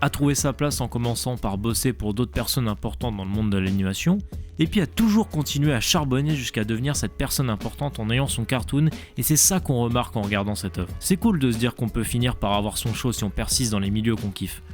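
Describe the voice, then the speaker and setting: A man's voice: medium pitch, a little fast. A young adult man delivering scripted narration as the narrator of a cartoon review show. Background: background music.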